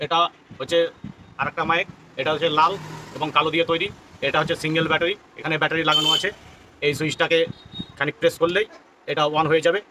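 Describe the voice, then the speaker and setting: A man's voice: a little high, flat delivery, fast, long pauses, very noisy. A man gives an informal, explanatory product demonstration as a shopkeeper, often repeating himself. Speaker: shopkeeper presenting products on own channel.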